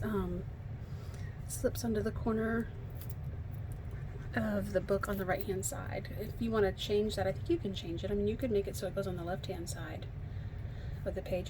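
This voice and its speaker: A woman's voice: mid-range voice, background noise. A woman with a slightly froggy voice, chatting casually while she demonstrates card making at a home craft table, thinking aloud and poking fun at herself. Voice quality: slightly froggy, hoarse from allergies. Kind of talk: casual craft tutorial chat.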